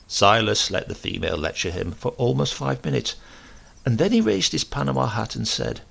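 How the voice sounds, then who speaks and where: Gravelly man narrating with lively pitch. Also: normal speed. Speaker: reader of a comic short story. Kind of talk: scripted narration, literary storytelling.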